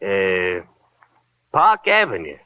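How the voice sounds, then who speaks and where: An actor playing a chatty, fussy, hospitable New York cab driver in an old radio drama, with colloquial neighborhood phrasing. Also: male voice, deep voice, huge pitch swings, slow pace, smooth, no room sound, heavily acted. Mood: confiding, gossipy. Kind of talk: 1940s-50s radio drama acting. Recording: tinny old-time radio recording.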